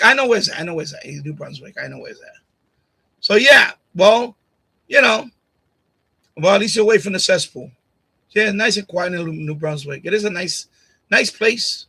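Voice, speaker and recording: A man's voice: high voice, expressive, normal speed, pauses often, sharp tone, slightly rough, rough recording. A man from Puerto Rico hosting a casual live stream, arguing bluntly with commenters, repeating himself and laughing often. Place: home streaming setup. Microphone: USB condenser mic on boom arm.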